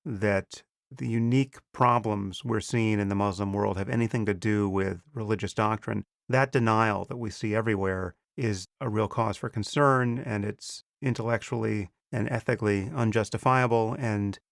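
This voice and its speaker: A man hosting a podcast interview, speaking in a measured, thoughtful way with some stammered restarts. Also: fairly low pitch, steady tone, vocal fry, no room sound, clean studio sound. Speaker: podcast host. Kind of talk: conversational interview.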